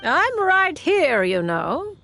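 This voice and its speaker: A woman voicing a calm, aloof seer witch in a video game, measured and commanding. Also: high-pitched, soft background music, full character voice.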